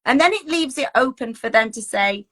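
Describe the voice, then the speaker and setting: Female well-being coach, higher-pitched and very bright, chatty and reassuring, informal advice delivery. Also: lively intonation, moderate pace. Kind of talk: informal advice talk, conversational.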